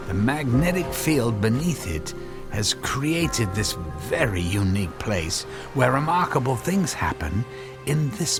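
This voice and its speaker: Nerdy obsessed husband scientist, radio play, stutters and repeats himself, swoopy delivery, music behind. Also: male voice, medium pitch. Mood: hesitant, secretive. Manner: halting, stammering, repeats words. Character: middle-aged obsessed, apologetic male scientist, henpecked husband. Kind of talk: radio drama acting.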